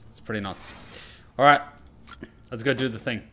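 A man's voice: mid-range voice, normal speed, fairly bright tone. A man giving casual gameplay commentary, talking to himself and swearing freely as he goes. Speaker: gaming streamer.

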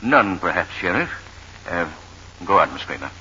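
An older male doctor, authoritative and coldly clinical, in a 1940s radio drama. His low voice swings expressively, over a dull, hissy broadcast. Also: sing-song, normal speed, pushed and bright, heavily acted. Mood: measured, clinical.

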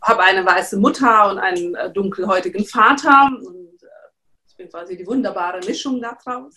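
A woman in her thirties from Czechoslovakia speaks as a guest coach over a webcam. Her voice sits mid-height, and she tells her story in a lively way with many pauses and fillers. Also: mid-range voice. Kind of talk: interview storytelling. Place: studio room with teal backdrop.

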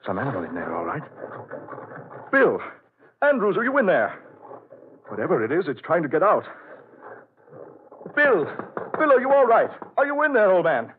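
An actor playing an uneasy, inquisitive houseguest in 1940s radio drama style, his voice husky. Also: on the high side, wildly swooping pitch, normal speed, long pauses, a little hiss or hum. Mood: urgent shouting. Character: uneasy, inquisitive man visiting an old friend. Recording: muffled, lo-fi old radio broadcast.

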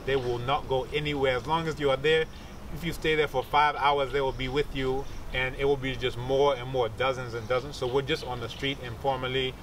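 A man's voice: medium pitch, recorded from a distance. A man in his thirties talking informally as a teacher in an interview, explaining things with asides. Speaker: researcher and martial arts teacher. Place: outdoors among trees and bushes. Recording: outdoor recording with insects and traffic noise.

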